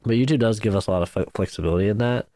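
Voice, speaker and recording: A man's voice: fairly low pitch, rapid-fire, mellow, dry recording, clean studio sound. A man in his twenties, a YouTuber chatting casually on a podcast, laid-back and saying "like", "dude" and "bro" often. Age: 20s.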